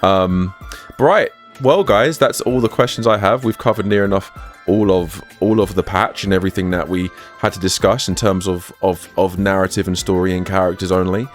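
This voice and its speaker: Low-voiced man hosting a podcast. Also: steady tone, moderate pace, faint music behind. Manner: chatty, laughs often, repeats words when starting. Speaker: podcast host. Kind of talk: casual gaming podcast chat.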